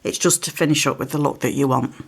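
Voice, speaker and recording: A woman's voice: extremely low pitch, fast, gravelly, noisy background. A woman with a slight cold, chatting casually through a step-by-step craft demonstration at her table. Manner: relaxed, chatty, explains while working. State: has a cold, occasional coughs. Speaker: craft tutorial presenter. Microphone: overhead camera on table, hands shown.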